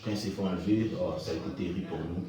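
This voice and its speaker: A man from Haiti answering interview questions in a halting way, repeating words as he talks. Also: low voice, steady tone, distant mic, a crowd in the background. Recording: echoey room, off-mic.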